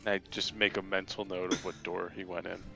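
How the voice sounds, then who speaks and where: Low, bright-edged male tabletop player. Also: level tone, fairly quick, vocal fry, faint music behind. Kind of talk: casual description of game actions.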